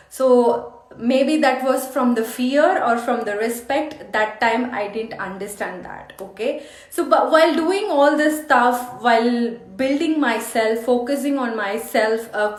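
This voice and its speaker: Casting: female life coach, Indian accent, unhurried delivery, earnest personal storytelling, roomy echoing sound. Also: on the high side. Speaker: life coach hosting a meditation challenge. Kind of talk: personal motivational storytelling to camera.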